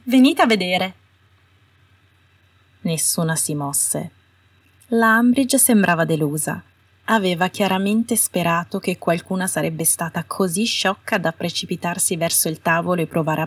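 A woman's voice: fairly low pitch, moderate pace, breathy edge. A woman reading scripted fiction as an audiobook narrator. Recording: roomy echo, distant from the mic.

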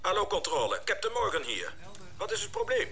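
A composed, courteous male spaceship captain in a radio drama. His fairly high voice is extremely bright and forceful, with lively intonation. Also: a little fast, exaggerated voice. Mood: composed, formal. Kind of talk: radio play acting.

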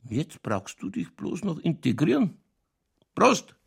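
A drunken, middle-aged police chief from a radio crime play, with a thick Lower Bavarian accent. His voice is fairly high and gravelly, with lively swings. He rants and rambles, folksy, crude and world-weary. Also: a little slow, exaggerated voice. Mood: brooding. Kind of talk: naturalistic radio crime drama dialogue.

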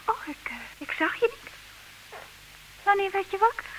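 A woman's voice: extremely high pitch, moderate pace. A woman acting in a comedic radio play as a frank, romantic, politely argumentative woman, speaking in an articulate, theatrical way. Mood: startled, flustered. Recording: noisy, hissy old radio recording.

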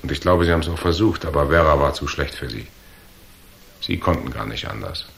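Deep-voiced hard-boiled tough guy. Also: fairly quick, vocal fry, a bit bright, heavily acted. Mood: cool, probing. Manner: dry, unflustered, hard-boiled. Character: cool, tough, confident gunslinging crime-story hero. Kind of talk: radio crime drama acting.

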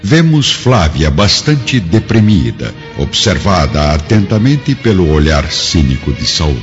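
A man's voice: low-pitched, very animated, unhurried. A man narrating a radio drama from a script in a dramatic storytelling style. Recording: dull lo-fi audio with music behind.

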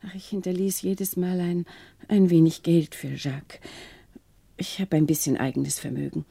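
A woman's voice: on the low side, level tone, moderate pace, creaky, warm tone, husky, dry recording. An actress playing a grave, sorrowful wealthy woman confessing in a hesitant, subdued way in a radio crime drama. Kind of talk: 1960s German radio crime drama acting.

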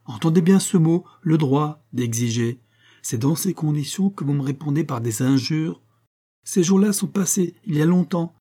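A man's voice: fairly high pitch, normal speed, warm tone, pitched above the performer's own voice, lightly acted. A man voicing a cruel, mocking husband in a dramatic audiobook, sneering and coldly domineering. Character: cruel, mocking estranged husband, menacing and smug.

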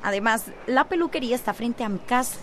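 A woman's voice: on the high side, expressive, quick pace, fairly bright tone, a little hiss or hum. A woman playing a decided, defiant seventeen-year-old Costa Rican girl in a radio drama, insistent and argumentative. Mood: determined.

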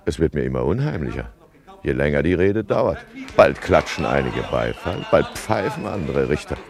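A man's voice: very deep voice, sing-song, moderate pace, vocal fry, full character voice. An actor playing a fussy, weary male town judge in a 1950s radio play, grumbling as he recounts events. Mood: rueful. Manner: grumbling, storytelling narration.

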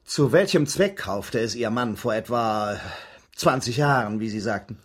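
A sceptical investigator in a radio drama, formal and methodical. His husky voice moves expressively up and down as he probes with persistent, clipped questions. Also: mid-range voice, animated, a little slow. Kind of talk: German radio drama acting.

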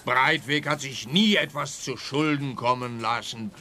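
A man playing a harried, dismissive police officer in a radio detective comedy, brisk and emphatic. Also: medium pitch, bright, forceful tone. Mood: harried, defensive. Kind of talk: scripted radio play dialogue.

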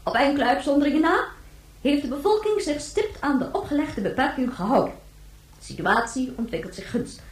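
Casting: government announcer, radio play; high-pitched, formal, emphatic, very echoey. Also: female voice, animated. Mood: formal, proclaiming. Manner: stately, emphatic, measured phrases.

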